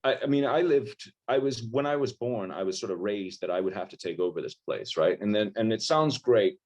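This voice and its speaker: A filmmaker from Ireland with an American-sounding accent, chatting casually in an interview over a video call, rambling with lots of restarts. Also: male voice, on the low side, a little fast, a little mellow. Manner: rambling, frequent restarts and fillers, self-deprecating.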